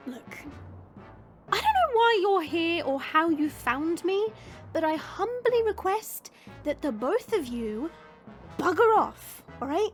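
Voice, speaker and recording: A woman's voice: extremely high pitch, very animated, takes it slowly, faint music behind, heavily acted. A woman acting a brash, sarcastic young demonic woman in an audio drama, theatrical and mocking. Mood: weary defiance. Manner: sarcastic, theatrical, exclaiming and drawling.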